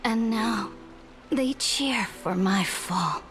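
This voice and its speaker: A proud, bitter empress in a video game. Her fairly high voice is halting and breathy, drawn out very slowly and solemnly over music and rain. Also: a bit bright. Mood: bitter, yearning. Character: proud fallen empress, regal, bitter and yearning. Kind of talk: dramatic video game acting.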